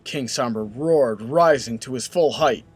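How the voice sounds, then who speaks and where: A man's voice: medium pitch, a little slow, slightly rough. A man narrating a fan fiction story in a scripted storybook style.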